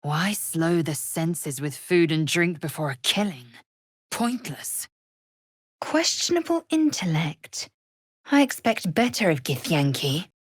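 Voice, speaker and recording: A woman's voice: lowish voice, animated, slow pace, husky, dry recording, clean studio sound, heavily acted. A woman voicing a fierce, haughty alien warrior in a fantasy video game, with an imperious, clipped, solemn delivery. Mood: scornful, impatient.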